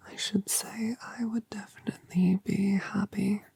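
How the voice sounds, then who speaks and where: A woman voicing a colossal female dragon mother in an ASMR roleplay, whispering softly and tenderly with a breathy voice. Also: medium pitch, steady tone, no echo, heavily acted. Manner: soft, slow, tender reassurance.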